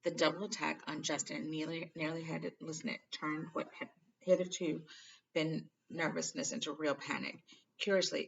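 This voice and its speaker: A woman's voice: low voice, gravelly, fairly bright tone, a little hiss or hum. A woman reading a children's novel aloud as narrator, recorded on a dull, distant home microphone. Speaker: storybook reader.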